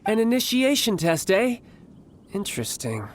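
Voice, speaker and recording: A man's voice: high-pitched, big pitch swings, moderate pace. A man voicing a cocky, playful young man in a video game, teasing and theatrically polite. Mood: smug.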